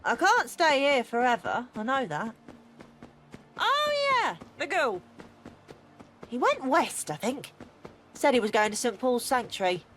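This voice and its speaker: A woman's voice: sits high, wildly swooping pitch, measured pace, long pauses, heavily acted. A woman voicing a plucky, cheeky young street boy with an English accent in a video game. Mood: uncertain. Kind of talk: video game companion dialogue.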